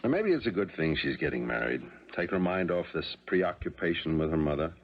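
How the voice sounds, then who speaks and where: A man's voice: low-pitched, big pitch swings, brisk, creaky, exaggerated voice. An American man playing a middle-aged father and husband, mild and puzzled, in a 1970s radio drama. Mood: mild, sympathetic. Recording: muffled 1970s radio recording.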